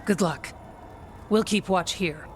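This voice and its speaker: A woman's voice: on the low side, slow, noisy background. A woman voicing a loyal, plain-spoken warden fighter in a fantasy video game. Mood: loyal, grave.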